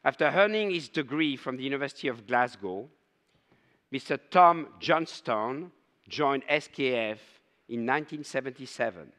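An older man hosting a conference, giving a measured, formal introduction of the keynote speaker on stage. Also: medium pitch, expressive, a bit bright. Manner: measured, ceremonial. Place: large conference hall stage. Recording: long hall reverb.

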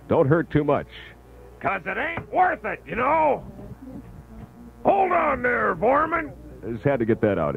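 A man's voice: medium pitch, creaky. A man working as a radio disc jockey in the 1970s top-40 style, with punchy, playful patter. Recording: lo-fi 1970s AM radio aircheck, narrow band. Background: loud music.

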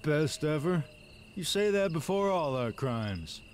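A nervous small-time crook in a video game. He speaks slowly and doubtfully, his pitch swinging widely as he grumbles. Faint crickets sit behind. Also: very animated, a little hiss or hum. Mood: sceptical.

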